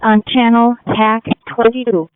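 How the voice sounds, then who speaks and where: Female dispatcher on tinny two-way radio, slow n formulaic, repeats stuff. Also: fairly high pitch, steady tone, noisy background. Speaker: emergency dispatcher. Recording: phone-like narrow-band emergency radio.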